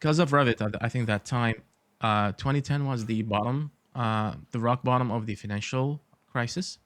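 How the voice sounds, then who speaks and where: A man's voice: mid-range voice, moderate pace, fairly bright tone. A man from Iraq, an architect in his forties, chatting as a podcast guest, with many fillers and dry humor. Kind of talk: casual interview talk.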